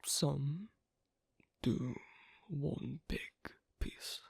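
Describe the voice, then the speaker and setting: A performer voicing an asocial, reticent werewolf in an ASMR roleplay, speaking haltingly in a breathy, husky half-whisper. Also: no echo, studio quality, exaggerated voice. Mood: quietly explaining. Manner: halting, hesitant, low-key. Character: asocial, reticent young werewolf on a sewing date.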